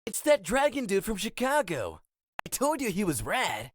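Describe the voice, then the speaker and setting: A woman playing an excitable, stubborn young boy in a comic dub, slangy and teasing. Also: medium pitch, normal speed. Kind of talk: comic dub character acting.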